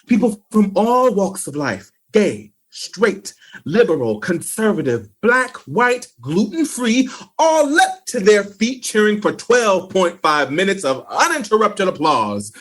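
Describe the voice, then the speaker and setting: Man playing a lively, grandiloquent life coach. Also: high voice, a little slow, heavily acted. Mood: grandly proud. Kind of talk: comedic audio drama acting.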